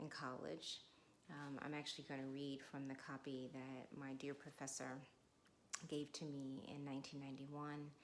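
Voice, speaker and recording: A middle-aged woman with a low-set voice speaks in a measured, deliberate way, like someone sharing literature aloud. Each phrase is placed with care. There is faint room noise behind her. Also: gravelly. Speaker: guest reader. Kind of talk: informal introduction, then literary read-aloud.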